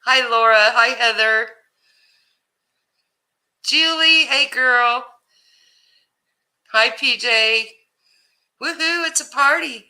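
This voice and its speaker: A woman hosting a casual livestream, greeting viewers by name in a loud voice that carries, chatting and laughing easily. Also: high voice. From Ohio, USA. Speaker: livestream host.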